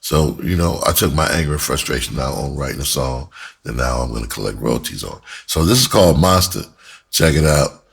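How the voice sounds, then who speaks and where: Very deep-voiced man chatting casually. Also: lively intonation, fairly quick, creaky, breathy edge. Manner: informal, streetwise, profane phrasing. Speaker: vlogger and rap musician. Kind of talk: casual storytelling to camera.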